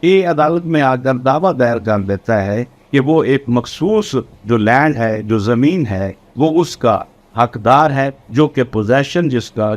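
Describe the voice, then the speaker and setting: A man with a Pakistani accent giving an explanatory law lecture in a didactic way, repeating and rephrasing key points. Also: medium pitch. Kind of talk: explanatory law lecture mixing Urdu and English.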